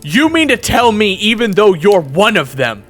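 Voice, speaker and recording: A man's voice: sits high, sing-song, moderate pace, a bit bright, pitched above the performer's own voice, heavily acted. A man voicing a wary, exhausted young soldier who stammers and swears defensively, in an audio roleplay with music behind. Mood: suspicious. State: exhausted and hungry.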